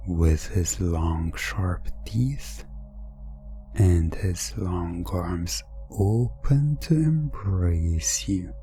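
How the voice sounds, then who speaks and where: A man narrating a scripted hypnosis ASMR story in a hushed, deliberate, dramatic manner. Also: low voice. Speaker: narrator of a hypnosis audio roleplay.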